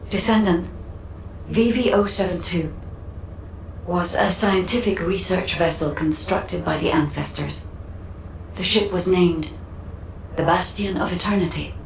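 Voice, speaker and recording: Grave female game guide, low voice with echo, formal and deliberate, explaining to a hero. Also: creaky, a little hiss or hum. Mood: measured, explanatory. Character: composed, analytical female guide briefing a sci-fi hero. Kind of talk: scripted game dialogue, measured exposition. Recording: echoing voice over faint game ambience.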